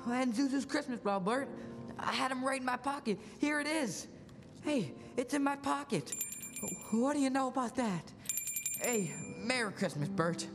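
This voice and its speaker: Excitable everyman given his life back, played by a young woman, radio play on a reverberant stage with music. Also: high-pitched, normal speed. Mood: overjoyed. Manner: exclamatory, excitable. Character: overjoyed, desperate family man given his life back. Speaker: student actor. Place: school auditorium stage. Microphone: stand microphone. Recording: echoey school stage, music behind.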